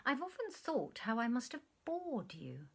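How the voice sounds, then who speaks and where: A woman's voice: fairly high pitch, huge pitch swings, unhurried, vocal fry, breathy edge, pitched above the performer's own voice, exaggerated voice. A woman voicing a self-absorbed, wistful man who reminisces to a former lover, effusive and earnest, in a short story reading. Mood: wry, reproachful.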